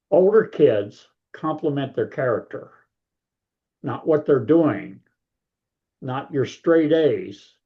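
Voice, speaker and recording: A man's voice: medium pitch, phone-quality sound. An older man, a grandparent, talking casually as an author guest in an interview, in a big voice with a folksy, rambling manner.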